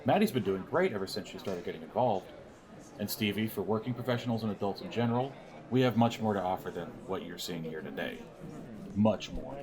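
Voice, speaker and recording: An actor plays a middle-aged vice principal in an audio drama, genial and a bit too friendly. His slightly low voice is smooth-talking and persuasive. A busy crowd chatters behind him. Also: fairly quick, close to an everyday voice. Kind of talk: naturalistic audio drama dialogue.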